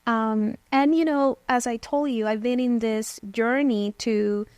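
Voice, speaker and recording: A woman from Venezuela in her thirties speaks as a guest, recounting her own story with reflective pauses and fillers. Her voice sits fairly high. The recording is close and dry. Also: fairly even intonation, a little mellow, clean tone, no echo, muffled recording. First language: Spanish. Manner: thoughtful, frequent "um" and "you know". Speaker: therapist guest.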